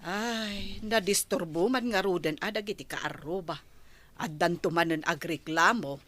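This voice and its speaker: A woman's voice: on the low side, unhurried, fairly bright tone. A woman acting a fretful housewife, wary of her neighbours, in an Ilocano radio drama, with gossipy interjections.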